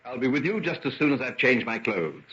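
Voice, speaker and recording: Husky, brooding young British gentleman. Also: mid-range voice, big pitch swings, fairly quick, exaggerated voice. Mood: lighter, playful. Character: brooding, self-doubting young English gentleman scientist. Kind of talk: old-time radio drama acting. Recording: muffled, band-limited vintage radio recording.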